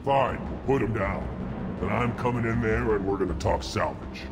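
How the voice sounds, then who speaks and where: Guy playing greedy menacing merc boss in a game, lowish blunt threatening voice, music behind. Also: moderate pace, lightly acted. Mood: impatient, demanding. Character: greedy, menacing male mercenary leader. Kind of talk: dramatic video game cutscene acting.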